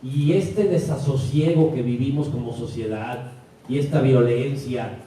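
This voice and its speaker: A man's voice: medium pitch, unhurried, mellow, slight background noise. A man speaking thoughtfully on a panel, drawing on a book he admires. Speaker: architect on a panel. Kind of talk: panel discussion. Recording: echoey hall picked up from far off.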